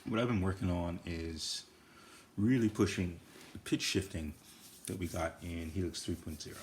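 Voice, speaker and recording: A man giving a casual, unscripted demo of guitar effects gear, talking in halting fragments as he explains his setup. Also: low-pitched, a little hiss or hum. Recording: echoey room, iPad recording. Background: processed guitar effects sounds.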